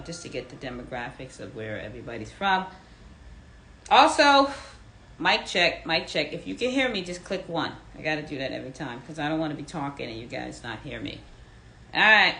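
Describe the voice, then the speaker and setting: A woman in her fifties with a low, bright voice hosts a live room. She talks in animated swoops and repeats her questions with emphasis. She reads out what listeners write and asks them to reply. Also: a little hiss or hum. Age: 50s. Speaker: fitness coach hosting a live audio room. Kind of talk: live audience chat.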